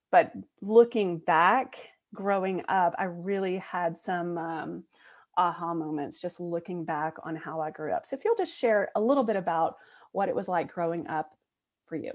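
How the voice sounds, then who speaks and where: A woman hosting her own podcast, introducing a guest and asking questions in an eager, excitable way. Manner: eager, excitable, with sudden upward jumps in pitch. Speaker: podcast host and empath coach.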